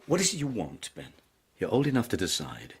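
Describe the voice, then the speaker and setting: A man acting in an audio drama as a stern, concerned schoolmaster, measured and probing as he questions a pupil. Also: on the low side, wildly swooping pitch, breathy edge, exaggerated voice. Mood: concerned, urging.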